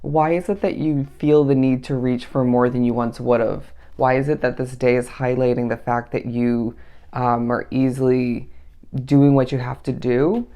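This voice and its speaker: Tarot reader with a raspy, gravelly, tired voice. Casual, musing delivery, keeps checking in with the listener. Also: mid-range voice, level tone, normal speed, warm tone. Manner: meandering, musing, often asks the listener "okay?". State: tired, up at three in the morning. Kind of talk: daily tarot reading to camera.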